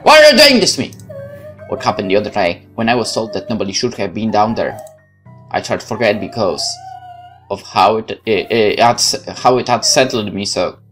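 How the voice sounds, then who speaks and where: A male gaming host reads a horror visual novel aloud. His delivery is dramatic and very animated, prone to exclaiming, over a bed of soft music. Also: lowish voice, fairly quick. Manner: dramatic, expressive, often exclaiming. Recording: music behind the voice.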